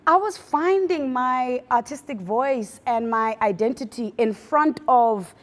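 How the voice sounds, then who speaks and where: A woman in her thirties, raised in the US and South Africa, chats as a guest in an animated, casual way, often restarting her sentences. Her voice sits fairly high, and a long reverb hangs around it. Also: unhurried. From born in the US, raised in South Africa. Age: 30s. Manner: animated, laughing, trailing restarts. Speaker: guest, poet. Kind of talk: casual chat.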